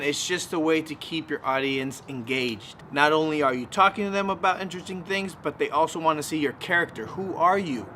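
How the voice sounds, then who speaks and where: A man's voice: fairly high pitch, pushed and bright, a little hiss or hum. A man vlogging in a casual, conversational way, giving informal advice to camera with some emphasis. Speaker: YouTube vlogger.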